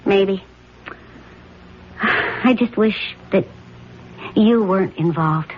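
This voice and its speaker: An actress playing a middle-aged wife in a radio drama, earnest and conversational, gently persistent in her doubts. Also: mid-range voice, very slow. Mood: concerned, doubtful. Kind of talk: 1970s radio drama acting. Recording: muffled, noisy old radio broadcast.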